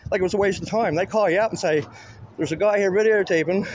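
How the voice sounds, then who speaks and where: A man's voice: sits high, quick pace, highs cut off, a little hiss or hum. A man with a Canadian accent in casual conversation, explaining himself in an insistent, repetitive way. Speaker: video auditor filming in public buildings. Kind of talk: casual spoken conversation with a police officer.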